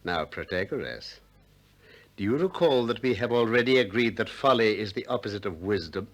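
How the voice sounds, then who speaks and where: An actor with a British accent playing a shrewd, politely persistent ancient Greek philosopher in a 1960s radio play, with measured, probing delivery. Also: male voice, on the low side, animated. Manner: probing, courteous, measured questioning.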